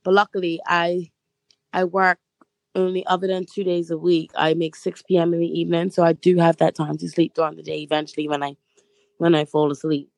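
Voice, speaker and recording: A young woman from Bermuda, a stage actress and guest on an interview podcast, talking in a relaxed, chatty way with a slightly hoarse voice. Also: fairly low pitch, a little fast, no room sound. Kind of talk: casual interview chat.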